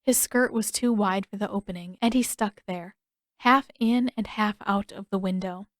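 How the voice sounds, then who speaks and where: A woman's voice: mid-range voice, normal speed, no room sound, studio quality. A woman reading scripted fiction as an audiobook narrator.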